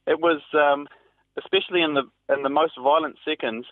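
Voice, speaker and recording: A man's voice: fairly high pitch, fairly even intonation, no room sound. A man, a reporter giving a hesitant, spontaneous eyewitness account in an interview over the telephone. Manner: hesitant, halting. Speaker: newspaper reporter interviewed by phone. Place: on a telephone call. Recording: tinny narrow phone line.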